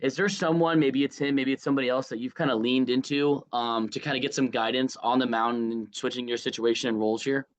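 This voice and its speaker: Man with a mid-pitched voice, casually interviewing. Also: steady tone, a little fast, hardly any pauses. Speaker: sports reporter interviewing. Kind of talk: casual, conversational interview questions.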